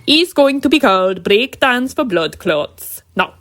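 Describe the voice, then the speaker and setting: A woman playing a dramatic, easily hurt magician's assistant with a husky voice in a comic audio drama. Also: on the high side, big pitch swings, measured pace, fairly bright tone. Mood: earnest.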